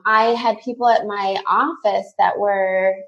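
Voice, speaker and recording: A woman's voice: medium pitch, unhurried, creaky, dull audio. A woman, chatting casually as a podcast guest. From California, USA. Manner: relaxed, chatty, many fillers. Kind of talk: casual chat.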